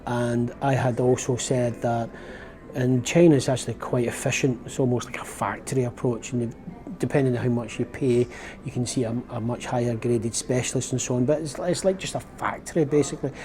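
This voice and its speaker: A man's voice: mid-range voice, fairly even intonation, fairly quick, warm tone. A Glaswegian man in his fifties, rambling in a casual, reflective vlog, often correcting himself as he goes. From Scotland. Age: 50s. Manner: rambling, chatty, self-correcting. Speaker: vlogger. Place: outdoors on a windy open-top bus tour.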